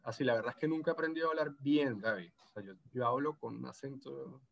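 Venezuelan gocho-accented man in his thirties, guest in a relaxed interview, hesitant delivery. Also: mid-range voice, quick pace, creaky, dull audio. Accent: Venezuelan Andean (gocho) Spanish. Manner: hesitant, many restarts and fillers. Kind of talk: casual video interview.

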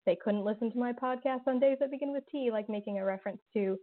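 A woman's voice: a little high, quick pace. A woman being interviewed about her audio drama podcast, answering casually with many fillers and restarts. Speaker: podcast creator and guest. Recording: tinny phone-like line.

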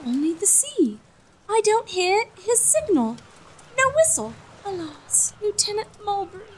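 A woman playing a lovestruck, gently chiding young maiden in a gothic comedy audio drama, with theatrical old-fashioned diction. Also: very high voice, sing-song, a little slow, slight background noise, heavily acted, low-quality recording. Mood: lovelorn.

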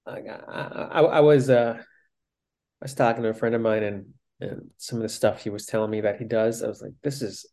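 A man's voice: medium pitch, a little mellow. A young man co-hosting a casual podcast, telling rambling stories full of restarts and quoted asides. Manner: rambling storyteller, many "I was like" restarts. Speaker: podcast co-host. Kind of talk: casual chat.

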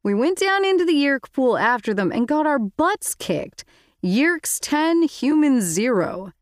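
A woman's voice: high-pitched, very animated, unhurried, no room sound, clean studio sound, pitched above the performer's own voice. A woman voicing a sarcastic, wisecracking teenage boy in a storybook reading. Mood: mocking. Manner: sarcastic, mocking.

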